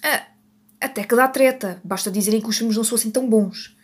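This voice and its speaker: A woman's voice: fairly high pitch, quick pace, slightly rough. A Portuguese woman hosting a podcast, chatting without a script, often correcting herself and laughing at her own slips. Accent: European Portuguese. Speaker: podcast host. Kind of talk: unscripted solo podcast chat.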